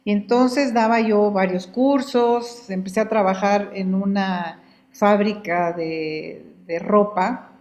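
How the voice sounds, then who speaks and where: An older woman from Guatemala, a guest in an interview, telling her life story in an unhurried, conversational way and often repeating words as she thinks. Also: mid-range voice, fairly even intonation, a little mellow.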